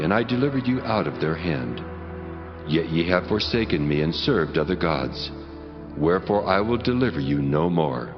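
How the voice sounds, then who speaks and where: A deep-voiced, wrathful God declaims solemnly, with great swings in pitch. The stern delivery sits in a dramatized scripture production, slightly dull in sound, under prominent music. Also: male voice. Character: God, stern and wrathful male deity rebuking his people.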